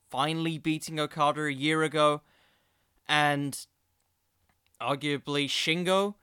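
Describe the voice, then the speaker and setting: A man's voice: a little high, bright, forceful tone, clean tone, dry recording, studio quality. A man hosting a solo podcast, giving casual, opinionated commentary in an informal, digressive way. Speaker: podcast host.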